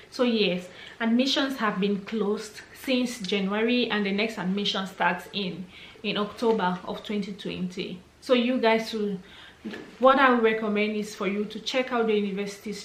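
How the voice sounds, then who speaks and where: A young woman talks through viewer questions in a relaxed, chatty vlog. She sounds encouraging and thinks aloud, with her voice sitting at a middle pitch. The room around her is echoey, with a faint hiss of background noise. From Cameroon, English-speaking region. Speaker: vlogger answering viewer questions. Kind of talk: casual Q&A chat.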